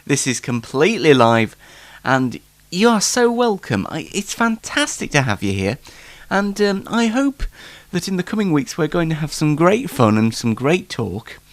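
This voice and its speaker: A man's voice: fairly high pitch, very animated, normal speed, no room sound. A man hosting a casual solo late night talk show, rambling in a jokey, self-deprecating way, slightly stuffed up with hay fever.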